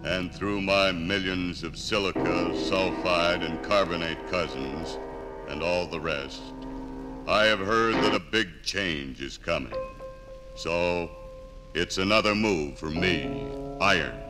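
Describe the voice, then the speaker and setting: A man playing ancient iron ore as a proud, patient character in a 1950s radio drama, speaking deliberately. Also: deep voice. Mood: weary, resigned. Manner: slow, proud, deliberate storytelling. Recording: vintage radio broadcast with music.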